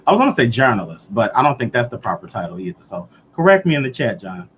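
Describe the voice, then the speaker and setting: A man's voice: mid-range voice, sing-song, fast, a bit bright, slight background noise. A man in his late thirties hosting a casual live chat, talking loosely and answering viewers as he goes. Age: 30s. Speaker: livestream host. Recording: dull lo-fi livestream audio.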